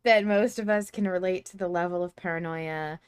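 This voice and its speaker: A woman's voice: fairly low pitch, brisk, smooth, dry recording. A woman hosting a podcast, talking casually about a story with others. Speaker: podcast host.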